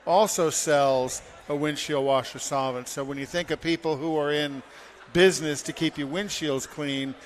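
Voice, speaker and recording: A man's voice: fairly high pitch, steady tone, moderate pace, a little hiss or hum. A man giving informal car care advice on a talk show in a plain-spoken, instructive way. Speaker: car care segment expert. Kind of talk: informal explaining.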